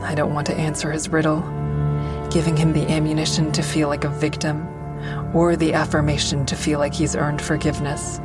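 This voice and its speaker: An actress plays a bereaved, bitter woman telling her story in an audio drama. Her voice sits low, guarded and intense, with a coldness to it. Music plays clearly underneath. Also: little pitch movement, a little fast, close to an everyday voice. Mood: cold, resentful. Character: embittered woman narrating her grief and rage, a bereaved mother. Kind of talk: first-person audio drama narration.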